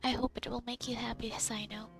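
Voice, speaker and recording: A woman's voice: fairly high pitch, level tone, a little fast, vocal fry. A woman giving casual, informal reaction commentary as she plays a video game, often in muttered asides. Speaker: gamer recording her playthrough. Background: game music.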